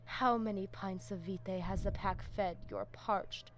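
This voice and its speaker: A woman's voice: medium pitch, steady tone, measured pace, a little hiss or hum, close to an everyday voice. Woman doing grave vampire pack boss lady, formal and fierce, video game, some background ambience. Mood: grave, probing. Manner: grave, formal, stately phrasing. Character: solemn Spanish-speaking female vampire pack leader, fierce and loyal. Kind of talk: dramatic video game acting.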